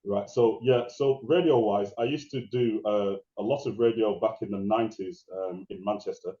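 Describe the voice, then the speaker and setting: A middle-aged man with a deep, dark, mellow voice tells stories in a loose, rambling way, full of restarts. He sounds like a guest on a remote interview, and his voice rings in an echoey space. The recording sounds dull and lo-fi. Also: moderate pace. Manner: rambling, restarts and laughs while telling stories. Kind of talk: casual interview conversation.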